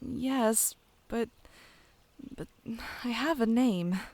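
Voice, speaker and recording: A woman playing a whimsical, sweet but stubborn young druid princess in a fantasy audio drama, gentle and airy. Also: on the high side, extremely slow, no echo. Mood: earnest, gentle.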